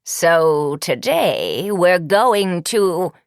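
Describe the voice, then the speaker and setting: A woman voicing an ancient, stern old sorceress teacher in a storybook, with a dry, raspy, drawn-out, scornful delivery. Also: fairly low pitch, big pitch swings, no room sound, heavily acted.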